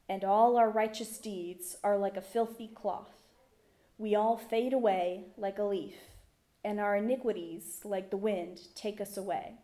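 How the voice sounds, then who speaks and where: A woman reading scripture and praying in church in a measured, reverent, deliberate manner. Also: medium pitch, warm tone. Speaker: scripture reader at a church service. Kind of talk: solemn scripture reading and prayer. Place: indoors in a church.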